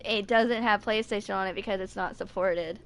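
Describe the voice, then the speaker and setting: A woman's voice: medium pitch, fairly even intonation, moderate pace, noisy background. A woman in her twenties chatting casually as a streamer, rambling informally and repeating phrases for emphasis. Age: 20s. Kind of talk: casual livestream chat.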